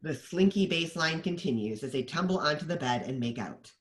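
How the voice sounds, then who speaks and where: A man's voice: a little high, expressive, a little fast. A man narrating the stage directions of a screenplay at a table read.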